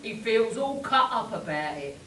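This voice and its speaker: A man's voice: high voice, very animated, measured pace, bright, forceful tone, heavily acted. A man playing a plain-spoken, loyal engineer sidekick in a live spoof radio play. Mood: earnest. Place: convention hall before a live audience. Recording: echoey, distant mic, noisy room.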